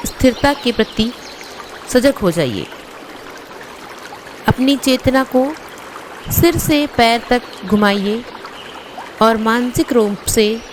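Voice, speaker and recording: A woman guiding a yoga nidra meditation as an instructor, giving measured instructions in short phrases with pauses. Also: fairly high pitch, slight background noise.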